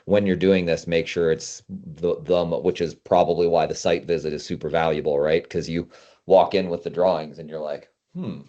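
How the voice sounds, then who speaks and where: Low, mellow-voiced man, casual guest. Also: steady tone, normal speed. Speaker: guest consultant. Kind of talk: casual interview talk. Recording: dull, band-limited audio.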